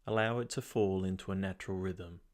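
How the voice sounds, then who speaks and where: A man narrating a guided meditation as its guide, giving calm, measured instructions with long pauses. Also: sits low.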